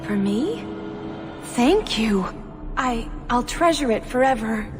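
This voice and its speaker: An actress playing a timid, innocent young servant slave in a video game, meek and naive in manner. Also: female voice, a little high, lively intonation, slow. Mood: grateful, moved. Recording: long echoing reverb, music underneath.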